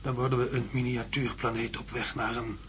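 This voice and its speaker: An actor playing an exhausted, delirious astronaut in a radio drama, muttering haltingly in broken, repeated phrases. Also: male voice, mid-range voice, little pitch movement, a bit bright, a little hiss or hum, lightly acted. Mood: dazed muttering. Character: exhausted delirious male astronaut reliving a perilous landing.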